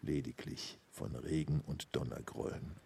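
A man playing an ominous narrator of a spooky audio drama, speaking in a gravelly, breathy voice. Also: rumbling low, fairly even intonation, measured pace, recorded from a distance, very noisy, full character voice. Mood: slow, ominous. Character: ominous male narrator of a spooky haunted-house tale.

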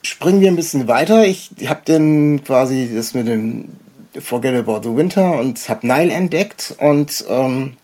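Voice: medium pitch, animated, measured pace, dark tone. A podcast host chatting casually in an interview, enthusiastic and rambling, often hesitating mid-sentence.

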